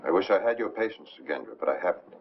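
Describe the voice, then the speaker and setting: An actor playing a suave, restless, determined amateur sleuth in a 1950s American film style. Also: male voice, deep voice, lively intonation, quick pace. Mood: troubled, insistent. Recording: muffled, noisy old 1950s film soundtrack.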